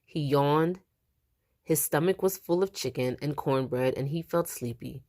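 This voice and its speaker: A woman reading a picture book aloud to young children in an animated, expressive storytelling style. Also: low-pitched, a little slow. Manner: animated, acts out the dialogue.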